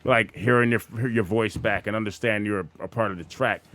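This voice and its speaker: A man in his forties from Phoenix, hosting a podcast in a loose, energetic chat, often repeating himself. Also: lowish voice, brisk, dry recording. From Phoenix, USA. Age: 40s. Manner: energetic, talks over others, repeats himself. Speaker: podcast host. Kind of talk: casual chat. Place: room with others, walking around.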